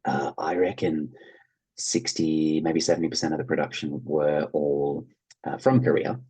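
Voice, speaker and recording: An Australian man chatting casually as an interview guest, telling anecdotes in an animated way and acting out quoted remarks. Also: very deep voice, normal speed, breathy edge, low-quality recording. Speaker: interview guest, actor and writer.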